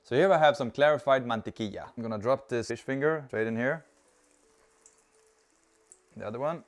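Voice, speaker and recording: A man's voice: medium pitch, moderate pace. A man in his thirties with a Swedish accent, chatting cheerfully as he cooks in a home kitchen on a lapel mic. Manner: chatty, cheerful, talks while working with food. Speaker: cooking video host. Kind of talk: casual cooking demonstration. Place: home kitchen with open garden door.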